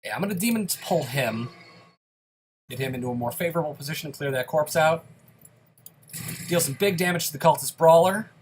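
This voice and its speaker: A man's voice: on the high side, lively intonation, fairly quick, long pauses, slight background noise. A young man giving casual, self-deprecating play-by-play commentary on a dungeon game while a bit sick. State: slightly sick, occasional coughs. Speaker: gaming YouTuber.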